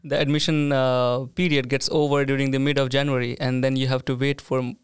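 A man's voice: mid-range voice, little pitch movement, a little fast, clear, resonant tone, dry recording, studio quality. A man hosting a casual podcast chat, talking in a friendly way with plenty of "uh"s and "like"s. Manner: friendly, hesitant with many fillers. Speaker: podcast host.

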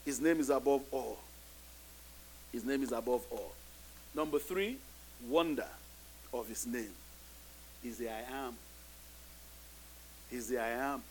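A man's voice: mid-range voice, a little slow, pauses often, a little hiss or hum, low-quality recording. A middle-aged man, a pastor whose first language is not English, preaching a sermon with emphatic, repeated phrases that build. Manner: preaching with rising emphatic repetitions.

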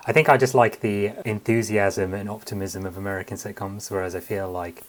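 A man's voice: lowish voice, steady tone, a little fast. A man from London with a London accent, chatting casually and self-deprecatingly as an interview guest. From London, England. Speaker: guest, comedy writer.

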